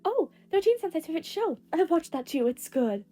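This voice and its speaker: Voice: very high-pitched, very animated, fairly quick, dark tone, faint music behind, voice pushed up. A woman playing a friendly, easygoing teenage boy with a casual, chummy manner in an audio story. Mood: upbeat.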